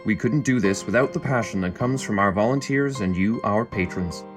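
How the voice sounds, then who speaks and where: A man's voice: fairly low pitch, moderate pace. A man reading a scripted promotional message as the announcer for a theatre group. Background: music.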